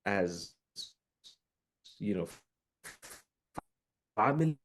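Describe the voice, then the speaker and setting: A man's voice: on the low side, expressive, very slow. A man from northern Uganda, an executive guest on an interview podcast, talking earnestly and emphatically about purpose, with frequent fillers. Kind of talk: reflective conversational interview. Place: room with books behind him.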